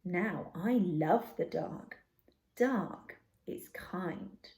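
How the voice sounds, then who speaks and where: A woman voicing a kindly, wistful old lady in a children's storybook, dreamy and gentle. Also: fairly low pitch, extremely slow, roomy sound. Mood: wistful, serene.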